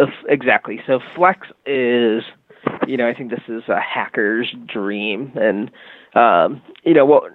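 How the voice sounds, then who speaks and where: A man's voice: fairly low pitch, vocal fry, no room sound. A man being interviewed on a podcast, explaining a product casually and hesitantly with many fillers like "you know" and "um". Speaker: guest, music marketing tech company. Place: Boston. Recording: dry, phone-like narrow band.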